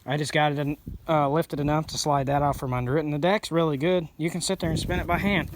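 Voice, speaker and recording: A man's voice: on the high side, steady tone, quick pace, background noise. A sniffly man narrating his repair work in a casual, rambling, blunt way, often correcting himself. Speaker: hobby mechanic vlogger.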